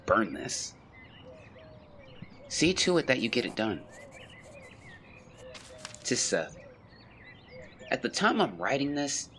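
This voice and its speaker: A solemn young man of the 1920s, played by a male actor, carries grief and shame in a confessional audio-drama monologue. His mid-pitched voice rises and falls expressively. Outdoor ambience with birdsong is clearly audible behind his literary, measured words. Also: fairly bright tone, a little hiss or hum. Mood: anguished, pleading, then wistful, sorrowful.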